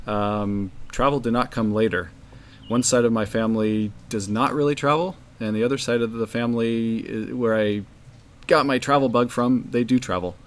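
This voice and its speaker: A man's voice: mid-range voice, fairly even intonation, moderate pace, slight background noise. A man in his forties from California, a travel blogger answering interview questions in short, matter-of-fact sentences with fillers.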